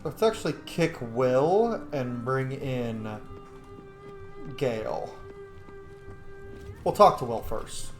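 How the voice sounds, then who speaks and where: A man with a mid-pitched voice doing playful, casual commentary over a game. The room sounds echoey, and game music plays behind him. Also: sing-song, takes it slowly, pauses often. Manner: playful, jokey. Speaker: gameplay streamer. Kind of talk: casual let's-play commentary.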